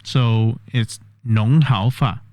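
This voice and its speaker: A man's voice: medium pitch, steady tone, drawn-out words, warm tone, no room sound, studio quality. A man vlogging a test of an AI voice assistant, explaining step by step and thinking aloud. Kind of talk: casual tech demo commentary.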